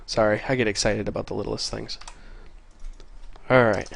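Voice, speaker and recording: A man with a congested voice from a cold, casually talking through a programming tutorial and getting excited over small things. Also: fairly low pitch, moderate pace, vocal fry. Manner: casual, easily excited, chatty. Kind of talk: screen-recorded coding tutorial. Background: faint keyboard typing.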